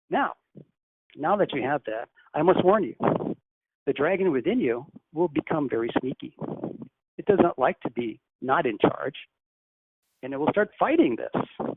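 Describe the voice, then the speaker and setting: A man speaking as a channeled spirit teacher, guiding a breathing meditation in a slow, deliberate, instructive way. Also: on the high side, expressive, slightly rough, no echo. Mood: teaching. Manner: slow, deliberate, instructive, softly hushed in exercises. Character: ascended master spirit, calm, commanding teacher. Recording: tinny phone line, faint hiss.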